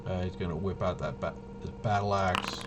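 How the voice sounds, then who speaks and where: A man thinking aloud through solo tabletop game commentary, casual and halting, often muttering to himself as he works things out. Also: low-pitched, steady tone, very noisy. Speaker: tabletop RPG player and presenter.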